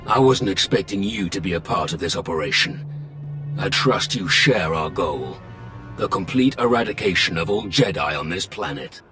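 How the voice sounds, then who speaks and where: Game villain: a menacing Sith lord, low-pitched, deliberate, coldly commanding, over loud score. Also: male voice, lively intonation, over music. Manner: imperious, deliberate. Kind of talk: video game cutscene acting.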